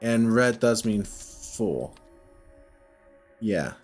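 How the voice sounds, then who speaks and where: A man's voice: on the low side, lively intonation, extremely slow, a little mellow, slightly rough, faint music behind. A man giving casual gameplay commentary, thinking aloud in a hesitant, self-questioning way as he works through a puzzle game. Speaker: video game playthrough host.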